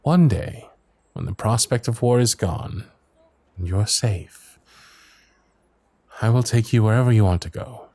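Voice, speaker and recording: A man playing a gentle, dutiful young knight of the royal guard in a romantic fantasy audio roleplay, with soft, breathy speech and formal, courtly, hesitant phrasing. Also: lowish voice, a little slow, pauses often, no room sound. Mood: sorrowful, devoted.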